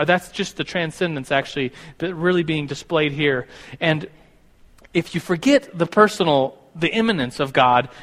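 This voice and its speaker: A man's voice: on the high side, a bit bright. A man in his thirties teaching a class about God in a loose, rambling way, correcting himself as he goes, in a classroom with a board. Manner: rambling, self-correcting, stumbles over words. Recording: long hall reverb.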